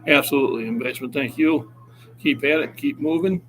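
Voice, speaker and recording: A man's voice: medium pitch, a little fast, fairly bright tone. Encouraging man in his sixties, noisy background. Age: 60s. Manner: encouraging, motivational. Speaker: fitness coach. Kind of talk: casual livestream chat.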